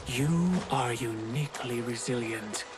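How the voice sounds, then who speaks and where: An actor playing a mysterious, scheming deliverer in a video game, speaking in a measured, formal, grandly persuasive manner. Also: male voice, medium pitch, close to an everyday voice. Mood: smooth persuasion. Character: mysterious, scheming male deliverer tempting a sorcerer with power. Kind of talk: cinematic video game acting. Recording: dramatic music behind the voice.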